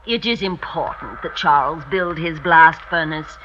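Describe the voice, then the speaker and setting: An actress playing a doting but probing older French mother-in-law, speaking deliberately in 1950s radio drama style. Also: low voice. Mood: fondly approving. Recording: muffled vintage radio recording, faint hiss.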